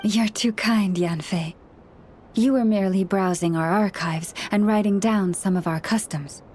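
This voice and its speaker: A woman voicing a gentle, gracious young priestess in a video game, speaking in a formal, measured, polite way. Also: medium pitch, slight background noise, lightly acted. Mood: warm, courteous. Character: gentle, gracious young female moon priestess, serenely courteous. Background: background music.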